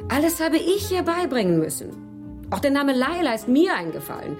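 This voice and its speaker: A woman's voice: high voice, big pitch swings, fairly quick. An actress playing a worldly, self-assured woman who teases and probes, in a German radio crime drama. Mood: knowing, boastful. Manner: teasing, self-assured, probing. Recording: faint music under the voice.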